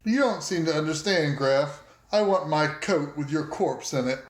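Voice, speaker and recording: A man playing a tabletop game with excitable, playful in-character banter, recorded in an echoey room. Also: a little high, normal speed, very noisy.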